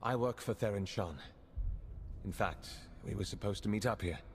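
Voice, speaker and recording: An actor playing an earnest, plain-spoken undercover agent in a video game. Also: male voice, on the low side, normal speed, lightly acted. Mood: concerned. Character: earnest male undercover intelligence agent in space war. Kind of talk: dramatic video game dialogue. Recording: long reverb, background hum and ambience.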